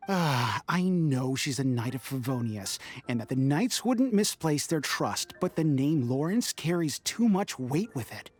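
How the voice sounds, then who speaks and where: A man's voice: medium pitch, expressive, a little slow, hardly any pauses, breathy edge. A man voicing a blunt, exasperated townsperson in a video game, with an indignant manner.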